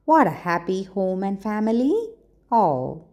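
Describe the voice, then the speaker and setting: A woman storyteller reading a children's storybook aloud, with deliberate, expressive phrasing and dramatic pauses. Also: medium pitch. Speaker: storyteller, channel host.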